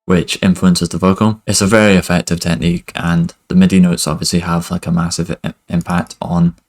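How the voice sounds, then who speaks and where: A young man giving a relaxed music production tutorial at his desk, talking loosely with lots of fillers. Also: low voice, brisk. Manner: relaxed, many fillers like "kind of" and "basically". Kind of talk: casual screen-recorded tutorial.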